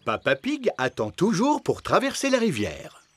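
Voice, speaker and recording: A woman narrating a French children's cartoon in a calm, scripted storybook style. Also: on the low side, moderate pace, soft background music. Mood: storytelling.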